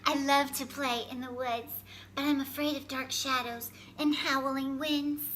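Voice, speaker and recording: Woman as little boy fox, storybook character, friendly but fearful, high and bright, childlike, pleading. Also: steady tone, measured pace, a little hiss or hum, poor audio. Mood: timid, earnest. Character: timid, lonely, friendly little male fox.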